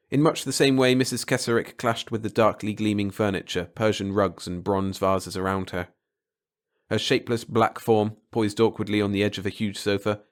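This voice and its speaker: A man reading a short story aloud as a dramatic, scripted audiobook narration. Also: lowish voice.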